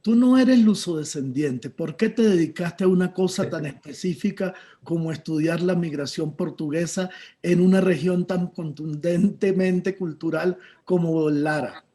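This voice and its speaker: A man from Táchira, Venezuela, moderates a panel discussion over a video call. His voice sits fairly high but sounds mellow and soft-edged, and he asks his long questions in a relaxed, conversational way. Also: a little slow.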